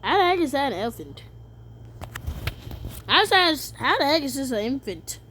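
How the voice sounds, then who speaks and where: A woman's voice: high voice, sing-song, normal speed, a bit bright, slight background noise. A woman giving casual, slangy and incredulous reaction commentary on a video.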